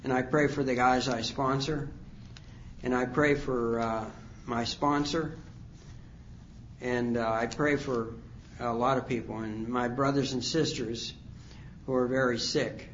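A man in his sixties telling his recovery story at a conference, rambling and halting with many fillers. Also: mid-range voice, fairly even intonation, lo-fi sound, a little hiss or hum. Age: 60s. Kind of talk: personal testimony talk. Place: conference hall.

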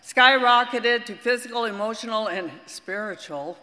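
Loud, bright-voiced older woman. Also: on the high side, normal speed, long reverb tail, rough recording. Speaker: speaker at a recovery meeting. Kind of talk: personal testimony to a group.

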